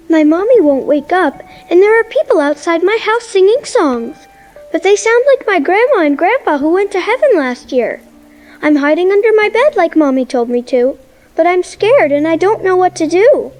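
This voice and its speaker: A small, frightened girl with a very high voice. She is childlike, obedient and innocent, a radio drama caller with faint music underneath. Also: darkish tone. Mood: scared.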